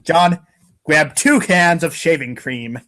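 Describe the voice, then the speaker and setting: A man's voice: a little high, takes it slowly, fairly bright tone. A man giving a playful, theatrical, exclamatory reading of a comic as its narrator. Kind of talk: playful dramatic reading of a webcomic.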